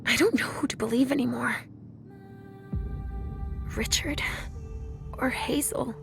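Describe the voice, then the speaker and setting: A woman's voice: on the high side, fairly even intonation, measured pace, vocal fry, music in the background. A woman playing a troubled, wary mother who sees visions, guarded and weary in a video game cutscene. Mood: distressed.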